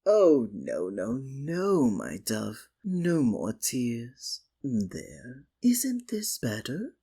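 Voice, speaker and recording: A man playing a sinister, possessive magician in an ASMR roleplay, speaking softly and coaxingly with theatrical, condescending endearments. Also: medium pitch, huge pitch swings, very slow, full character voice. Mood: tender, possessive. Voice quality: soft, breathy. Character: sinister, possessive male stage magician, soothing hypnotist. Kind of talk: ASMR roleplay, scripted dramatic monologue.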